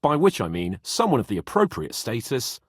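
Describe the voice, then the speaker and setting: A haughty young British nobleman for a medieval video game. His delivery swings widely in pitch, sarcastic and exasperated, with a slightly husky edge and a dry, close sound. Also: wildly swooping pitch, a little fast, no echo. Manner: pompous, sardonic, exasperated.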